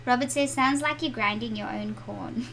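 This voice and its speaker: A woman's voice: on the high side, unhurried, gravelly, fairly bright tone, a little hiss or hum. A middle-aged woman with a South African accent, chatting to viewers and reading their comments aloud as she draws in her home studio. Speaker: art channel host. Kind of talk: live-stream drawing chat with viewers.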